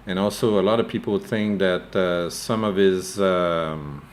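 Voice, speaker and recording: A man's voice: low voice, steady tone, unhurried, slight background noise. A man co-hosting a casual chat over a video call, hesitant and tentative, restarting his sentences often. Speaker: co-host.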